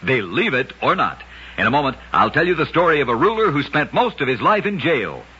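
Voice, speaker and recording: A male radio storyteller with a forceful, very raspy voice. He reads scripted oddities dramatically and deliberately, his pitch moving in lively swings. The recording is dull, like an old broadcast. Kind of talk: scripted narration of short oddity stories, vintage radio.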